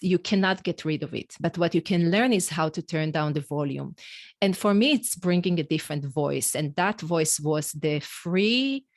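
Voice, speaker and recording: A woman's voice: deep voice, no echo, studio quality. A middle-aged woman with an Israeli accent and Hebrew as her first language, telling her own story in a reflective, candid interview style. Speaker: podcast guest, executive coach and author. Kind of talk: conversational interview, personal storytelling.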